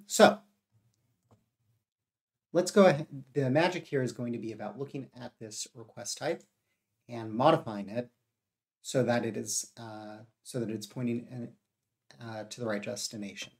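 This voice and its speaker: A man with a cold and a gravelly voice, thinking aloud as he codes live and explains each step. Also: medium pitch, a little slow, long pauses. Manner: steady explaining with frequent uh and self-corrections. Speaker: live coding streamer.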